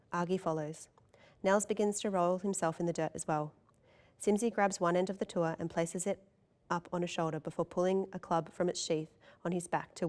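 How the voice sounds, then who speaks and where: A woman's voice: lowish voice, darkish tone. A woman narrator reading stage directions and scene descriptions at a table read.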